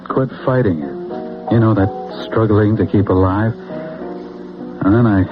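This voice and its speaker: A man with an American accent acting in a radio drama as an earnest, dazed soldier, telling his story haltingly and reflectively. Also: fairly low pitch. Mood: reflective, wondering. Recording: phone-like old radio, clear music behind.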